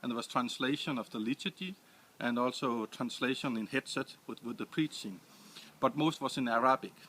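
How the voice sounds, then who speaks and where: A man from Denmark with a Danish accent, answering interview questions in English with many pauses and restarts. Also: medium pitch, sharp tone, breathy edge. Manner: hesitant, many restarts and fillers. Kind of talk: casual interview.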